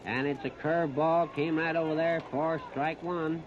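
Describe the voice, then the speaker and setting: A man's voice: fairly high pitch, steady tone, moderate pace. An American man calling baseball play-by-play on 1930s radio, plain-spoken and folksy, in short phrases. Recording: muffled, noisy 1930s radio disc recording.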